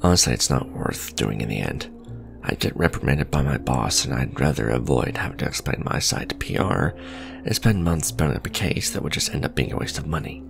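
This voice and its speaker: A man's voice: rumbling low, animated, a little fast, vocal fry, music in the background, full character voice. A man playing an awkward, blunt supervillain in a romantic ASMR roleplay, speaking in a hesitant, earnest, conversational way. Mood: matter-of-fact. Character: male supervillain, awkward, blunt, businesslike on a blind date. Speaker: voice actor.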